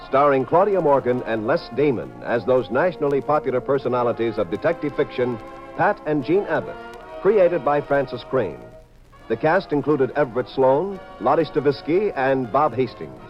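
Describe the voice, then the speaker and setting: A man's voice: mid-range voice, animated, moderate pace. A man with an American accent announcing in a scripted 1950s broadcast style. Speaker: radio announcer. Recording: dull 1950s radio recording, music. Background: music.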